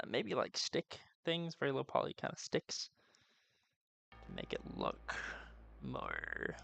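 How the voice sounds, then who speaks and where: A man's voice: medium pitch, vocal fry, bright, forceful tone, a little hiss or hum. A man casually thinking aloud on a live creative stream, muttering to himself in a playful, profane way. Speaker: streamer doing 3D art.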